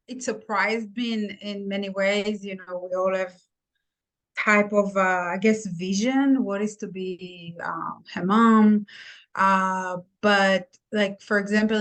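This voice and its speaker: Middle-aged woman, Israeli accent, medium pitch, candid, casual interview guest. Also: a bit bright, rough recording. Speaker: podcast guest, nurse practitioner.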